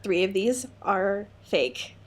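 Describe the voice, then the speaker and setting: A woman's voice: medium pitch, animated, takes it slowly, a little hiss or hum. A young adult woman co-hosting a podcast in casual, comedic chat, with a jokey, playful, slangy manner and easy swearing. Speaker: podcast co-host.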